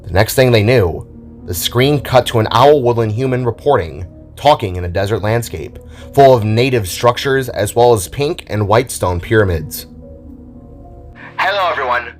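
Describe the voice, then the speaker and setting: A man's voice: lowish voice, moderate pace. A man reading scripted, dramatic narration for an audio drama, with music behind his voice.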